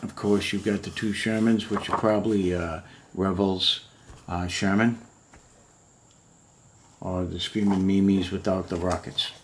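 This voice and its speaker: Middle-aged man, very low voice, loose rambling show-and-tell, faint hiss behind. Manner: rambling, musing aloud, many fillers. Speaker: model kit collector showing books. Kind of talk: casual show-and-tell vlog. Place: home room full of model kit boxes.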